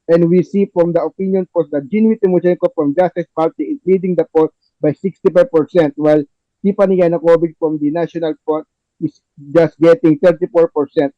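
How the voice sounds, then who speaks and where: A man's voice: fairly high pitch, dry recording, natural voice. A man playing a television news anchor in a scripted play reading, recorded with dull lo-fi sound. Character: television news anchor reporting election polls.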